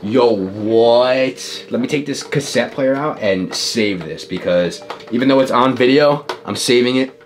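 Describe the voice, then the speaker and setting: A man in his twenties talking casually and excitably in slang to a camera in a bedroom. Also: mid-range voice, unhurried, a little hiss or hum. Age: 20s. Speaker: vlogger. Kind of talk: casual reaction vlog. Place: small bedroom with desk.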